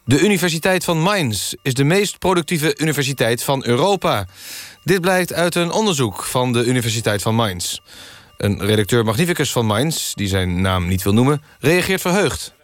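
A man playing an earnest radio news presenter, reading scripted satirical items in a formal, deadpan way. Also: medium pitch, normal speed, dry recording, natural voice.

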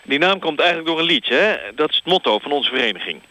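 A man's voice: a little high, sing-song, fast talker, sharp tone. A man playing an earnest association chairman in a mock radio interview, given to simple singing. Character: slow male chairman of a crying children's association, singing wordlessly.

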